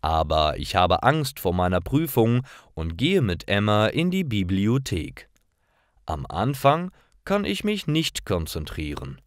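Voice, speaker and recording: Guy with a lowish voice reading stories for learners, really slow and clear wording, dry recording. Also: dry recording. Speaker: narrator of stories for language learners. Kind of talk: slow scripted narration for learners.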